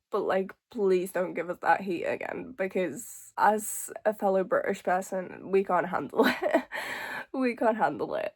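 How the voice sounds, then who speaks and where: A woman's voice: mid-range voice, darkish tone. Casting: woman, early twenties, from Hungary, chatty lifestyle vlogger, mid-range voice, informal and giggly delivery. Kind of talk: casual chatty vlog to camera.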